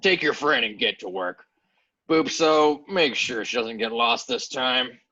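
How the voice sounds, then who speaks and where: A man playing an imposing, stern basilisk mine foreman with a gruff, raspy voice and curt manner in a sci-fi table read. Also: medium pitch, measured pace, sharp tone. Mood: stern, annoyed. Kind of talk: video-call table read of sci-fi fan fiction. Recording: dull, lo-fi video call audio.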